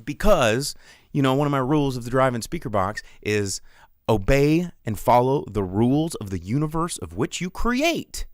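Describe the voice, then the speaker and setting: A man's voice: medium pitch, sing-song, normal speed, no echo, studio quality. A man hosting a live talk show, giving casual, opinionated commentary in a slangy, sweary way and acting out imagined quotes.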